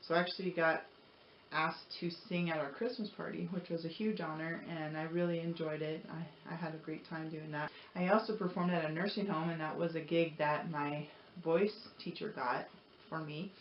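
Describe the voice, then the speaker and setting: A woman's voice: low voice, fairly even intonation, moderate pace. Echoey, earnest woman vlogger. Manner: earnest, encouraging, rambling. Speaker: motivational vlogger. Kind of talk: casual personal talk to viewers. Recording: roomy echo, dull lo-fi audio, noisy.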